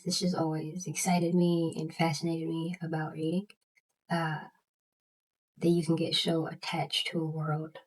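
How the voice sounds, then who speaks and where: A young woman with a Southern US accent and a stutter, chatting casually in a book vlog with halting restarts and many fillers. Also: deep voice, a little mellow, low-quality recording. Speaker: booktuber.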